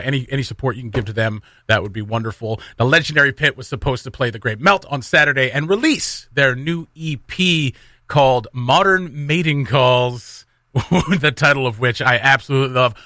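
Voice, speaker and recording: A man's voice: mid-range voice, brisk, bright, forceful tone, dry recording. A man hosting a radio morning show, bantering casually and interviewing live on air from a studio.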